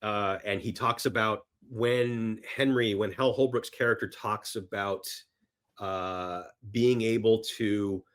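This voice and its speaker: A man as a podcast guest chatting casually and enthusiastically about films, telling stories in an animated, emphatic way. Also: lowish voice. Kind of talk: enthusiastic casual film chat.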